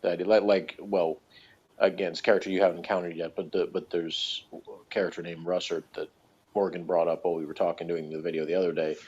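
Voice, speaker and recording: A man with a very low voice chats analytically and casually, feeling his way through each thought. He is tentative and often hesitant. The recording is muffled and lo-fi. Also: little pitch movement, fast talker, darkish tone, slightly rough. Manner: hesitant, tentative, thinking aloud. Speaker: guest reviewer discussing TV characters. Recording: dull lo-fi voice recording.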